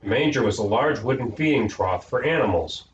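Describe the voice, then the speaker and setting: A man's voice: medium pitch, animated, fairly quick, natural voice. A man reading the part of a patient, slightly weary mother explaining things to children in a storybook. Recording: echoey room, distant mic, noisy, dull.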